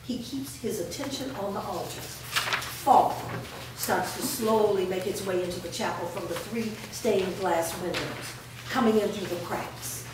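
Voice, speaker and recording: A woman's voice: mid-range voice, unhurried. Female narrator for a staged play reading, reading directions, heard far off in a noisy, echoing room. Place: large bare indoor room, staged reading before a small audience. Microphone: distant camera.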